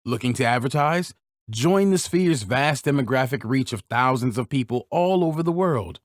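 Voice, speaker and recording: A man reading sponsor ads for a podcast in a smooth promotional delivery. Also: a little high, no echo, clean studio sound. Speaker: announcer. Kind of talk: scripted ad read.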